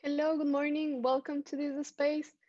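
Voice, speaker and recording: A woman's voice: high voice, level tone, normal speed, darkish tone, no echo. Woman on a muffled call. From Ecuador. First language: Spanish. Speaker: youth organizer. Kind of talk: live stream guest, bilingual. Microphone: video call. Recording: dull, lo-fi call audio.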